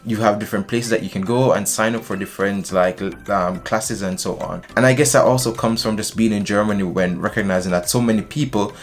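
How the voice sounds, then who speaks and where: A young man from Jamaica chatting casually to camera in a small indoor room, animated and gesturing as he talks. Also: fairly low pitch, fairly quick, few pauses. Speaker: vlogger.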